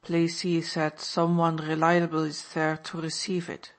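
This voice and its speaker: A grave impostor baron speaks slowly and formally, as a woman narrator plays him. Every word comes out measured and a touch low. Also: completely flat delivery. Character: man posing as a foreign baron, grave.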